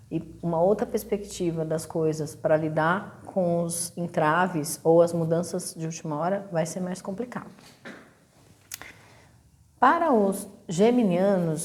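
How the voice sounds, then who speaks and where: A Brazilian woman reading a weekly horoscope and tarot in a relaxed, hesitant way, clearing her throat as her voice catches. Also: on the low side. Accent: Brazilian Portuguese. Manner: relaxed, hesitant, lots of fillers and restarts. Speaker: astrology and tarot reader. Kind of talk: casual weekly horoscope talk.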